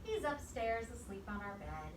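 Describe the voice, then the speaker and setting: A woman reading a storybook aloud to young children, expressive, pausing to ask simple questions and repeat answers. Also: on the high side. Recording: echoey, distant mic, clear background noise.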